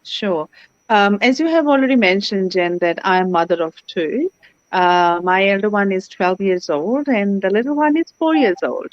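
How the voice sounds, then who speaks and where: A woman's voice: medium pitch, animated, moderate pace, dry recording. A woman, a guest on an online interview, speaking earnestly with many "you know" fillers over a video call. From India. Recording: dry, dull lo-fi call audio.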